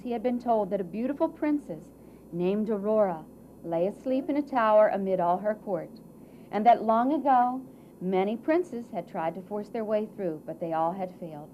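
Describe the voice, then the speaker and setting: A woman tells a fairy tale to children in a theatrical storyteller's style. Her medium-pitched voice stretches phrases and leans hard on key words. The live recording is dull, with faint noise behind her. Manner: theatrical, drawn-out phrasing with emphatic swells and hushes. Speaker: storyteller narrating a puppet show. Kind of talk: dramatic children's fairy-tale narration read to an audience. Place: live puppet show before an audience.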